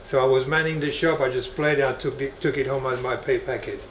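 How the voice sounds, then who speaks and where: A middle-aged man from France, a guitar collector, telling animated stories with frequent laughter in a dim indoor room. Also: mid-range voice, fast, a little hiss or hum. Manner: animated anecdotes, laughing often. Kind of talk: storytelling interview. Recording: echoey room.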